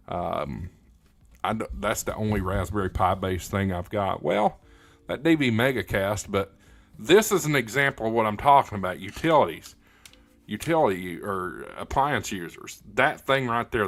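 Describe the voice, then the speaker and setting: Low-voiced man chatting on a livestream. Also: vocal fry, fairly bright tone, no room sound. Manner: halting, repeats words, opinionated, plain-spoken. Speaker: livestream host, ham radio hobbyist. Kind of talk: casual livestream chat, answering viewer comments.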